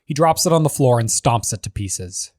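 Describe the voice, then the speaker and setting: A man's voice: medium pitch, expressive, normal speed, darkish tone, no room sound, clean studio sound. A man giving scripted narration, reading a screenplay's stage directions as the narrator of a table read.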